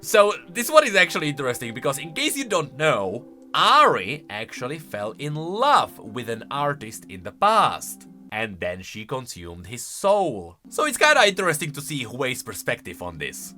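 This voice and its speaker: A man's voice: mid-range voice, wildly swooping pitch, moderate pace, pushed and bright, faint music behind. A man giving casual, playful commentary as a streamer, explaining and reacting to video game lore with emphatic delivery.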